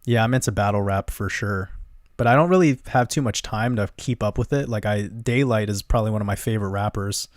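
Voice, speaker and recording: A young man with a gentle voice hosting a livestream, casually chatting and answering viewer comments in a relaxed, rambling way with easy laughs. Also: fairly low pitch, a little fast, dry recording, studio quality. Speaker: livestream host.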